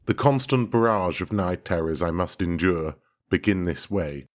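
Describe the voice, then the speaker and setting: Deep-voiced, brooding obsessed expedition leader. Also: male voice. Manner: grandiose, brooding, theatrical. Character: obsessed, bitter, proud male expedition leader and husband. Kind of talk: dramatic first-person audio drama narration.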